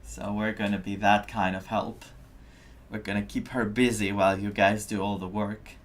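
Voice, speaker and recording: A game streamer giving casual live commentary, musing and halting while thinking out loud. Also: low-pitched, level tone, background noise.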